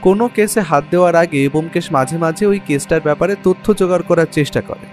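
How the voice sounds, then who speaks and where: An actor playing a detective's curious, watchful companion, narrating the case in the first person. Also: male voice, a little high, fast talker, darkish tone, soft background music, lightly acted. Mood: reflective.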